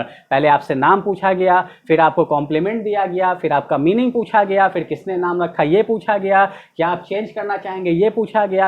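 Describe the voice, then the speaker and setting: A man's voice: sits high, highs cut off. Male teacher acting out English dialogues. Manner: acts out sample dialogues, playful and lively. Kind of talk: spoken English lesson explained in Hindi.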